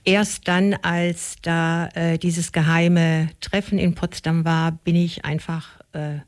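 A woman, the founder of a local group, telling her story in a casual interview with many pauses and fillers. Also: on the low side, little pitch movement. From Germany. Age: 60s.

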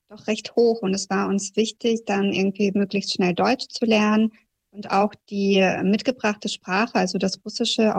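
A woman in her thirties, a journalist from Kazakhstan who grew up in southwest Germany, giving a reflective, measured personal account in an interview. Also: medium pitch, darkish tone. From Kazakhstan, raised in southwest Germany.

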